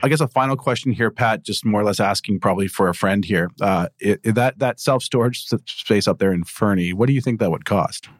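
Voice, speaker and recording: A man in his thirties co-hosts a casual podcast, joking with his guest and stumbling through restarts. His voice sits low. The sound is dry and close. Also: fairly quick, hardly any pauses, no room sound. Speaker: podcast co-host. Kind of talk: casual podcast chat and ad reads.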